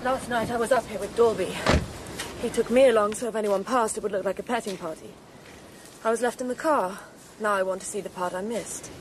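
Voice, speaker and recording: A woman's voice: mid-range voice, fairly even intonation, fairly quick, close to an everyday voice. Woman acting a cool female spy, noisy recording. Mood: curious. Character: cool, independent, probing female Cold War intelligence colleague. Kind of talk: radio spy drama acting.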